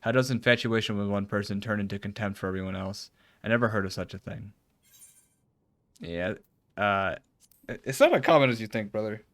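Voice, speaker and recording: A man's voice: fairly low pitch, normal speed, long pauses, a bit bright, dry recording. A man streaming a video game, reading its formal cutscene dialogue aloud with some stumbles and casual reactions.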